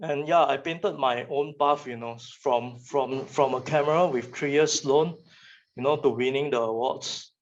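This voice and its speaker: A man's voice: medium pitch, measured pace, highs cut off. A young man from Malaysia with a Malaysian English accent, Chinese his first language, giving a casual motivational talk to students and often repeating words as he restarts.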